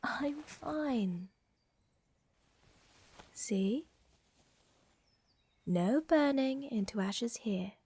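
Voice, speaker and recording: A woman playing a flirtatious, doting aristocratic vampire countess in an intimate ASMR audio roleplay, with a soft, breathy murmur and elegant, teasing, soothing phrasing. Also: fairly high pitch, animated, very slow, long pauses, dry recording, heavily acted. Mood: teasing, affectionate.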